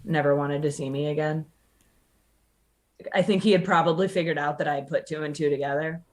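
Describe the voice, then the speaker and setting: A woman's voice: low voice, fairly even intonation, fairly quick, darkish tone. A woman telling a personal story casually as a podcast guest, candid, sardonic and sweary, acting out the dialogue she quotes.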